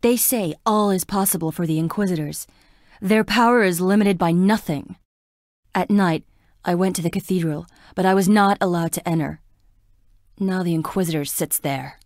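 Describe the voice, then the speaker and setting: A woman's voice: on the low side, moderate pace, darkish tone, no echo, studio quality, close to an everyday voice. An actress playing a frail, melancholy young woman in a plague-stricken town, delivering dramatic video game lines in a wistful, languid, theatrical way. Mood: fearful, gossiping.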